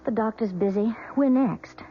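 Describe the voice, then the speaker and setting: A woman's voice: fairly high pitch, moderate pace, close to an everyday voice. A woman playing an anxious young wife. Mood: nervous. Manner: anxious, pleading. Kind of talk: 1970s radio drama acting. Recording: lo-fi old radio broadcast with noise.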